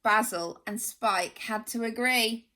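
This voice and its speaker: Slow expressive woman reading a storybook. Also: a little high. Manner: expressive, gently dramatic. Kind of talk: reading a children's picture book aloud.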